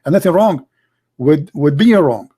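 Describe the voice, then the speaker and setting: Casting: high-pitched man, argumentative debate host, insistent. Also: lively intonation, a little slow. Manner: forceful, argumentative, repeats words. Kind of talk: heated debate.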